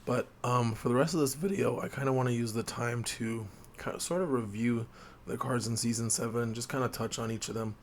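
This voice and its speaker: A man with a sore throat and a slightly hoarse voice, hosting a tabletop game video and explaining things quietly to viewers with lots of ums. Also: steady tone, fairly quick, a little hiss or hum. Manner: quiet, low-key delivery with frequent fillers. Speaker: host of a tabletop game channel. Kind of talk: casual explainer talk to viewers. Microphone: desk mic with gain turned up.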